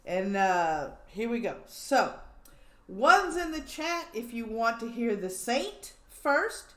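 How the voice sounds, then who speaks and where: Slow-talking wry woman streamer. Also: a little high, sing-song, pushed and bright. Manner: wry, teasing, echoing lines back with laughs. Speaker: streamer hosting an old radio listen-along. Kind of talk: casual chat and heckling. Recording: echoey room.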